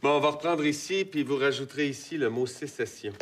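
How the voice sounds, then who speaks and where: A man's voice: mid-range voice, brisk, natural voice. An actor playing a patient male director who coaches a speaker on set, with a Québécois French accent. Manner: patient, reassuring.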